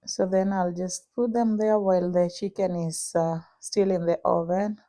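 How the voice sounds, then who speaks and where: A young woman with a Kenyan accent talking through her cooking in a casual vlog, pausing often with "uh". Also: on the low side, fairly even intonation, warm tone, no echo, studio quality. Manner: unhurried, many "uh" pauses while cooking. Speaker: vlogger.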